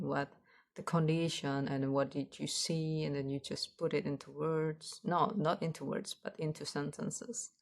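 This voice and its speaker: A woman with a low voice and an Indonesian accent rambles casually, as if practicing her speaking for a vlog. She speaks haltingly, searching for the right word and circling back over her phrases. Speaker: vlogger.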